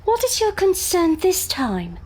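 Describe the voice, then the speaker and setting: A woman's voice: very high-pitched, wildly swooping pitch, a little slow, darkish tone, a little hiss or hum, exaggerated voice. A woman playing a prim, anxious young lady in a period audio drama, speaking in a genteel, careful way. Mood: prim, concerned.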